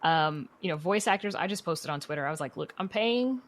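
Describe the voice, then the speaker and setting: A woman's voice: lowish voice, lively intonation, a little fast. A woman speaking as an interview guest in a casual, chatty way, peppering her talk with conversational asides. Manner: chatty, frequent "you know" asides. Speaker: guest, podcast creator and writer.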